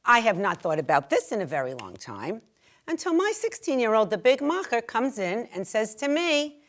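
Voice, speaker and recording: A woman's voice: on the high side, very animated, normal speed, voice pushed up. A woman playing a brash, indignant middle-aged mother in an emphatic stage monologue. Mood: animated recounting.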